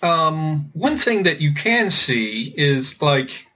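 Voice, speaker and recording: A man explaining things informally as a podcast host, thinking aloud in a halting way with repeated words. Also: a little high, very animated, sharp tone, breathy edge. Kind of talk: informal explanatory discussion of linguistics.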